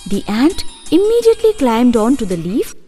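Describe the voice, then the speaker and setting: A woman tells scripted fairy tales for children in a high voice. Her intonation is lively and varied, with soft music behind her. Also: normal speed, husky. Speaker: storyteller. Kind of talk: scripted children's story narration. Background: faint music.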